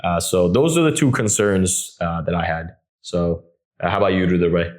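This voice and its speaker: A man's voice: low-pitched, moderate pace. A man in his twenties hosting a podcast in a casual, friendly way, with many "uh" fillers. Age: 20s. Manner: relaxed, frequent "uh" fillers, friendly. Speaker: podcast host.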